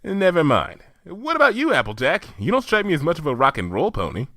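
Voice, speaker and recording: A man's voice: on the high side, wildly swooping pitch, a little fast, fairly bright tone, no echo, studio quality, pitched above the performer's own voice, exaggerated voice. Man doing a bookish, lecturing unicorn mare. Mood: earnest. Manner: precise, lecturing, enthusiastic about science. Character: earnest, bookish young unicorn mare scholar.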